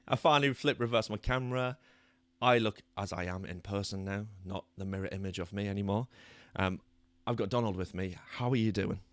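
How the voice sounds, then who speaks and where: A British man hosting a soccer podcast in a chatty, self-deprecating way, his voice a little croaky. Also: on the low side, brisk, dry recording. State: slightly croaky voice. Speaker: podcast host. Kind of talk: casual chat. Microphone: new microphone.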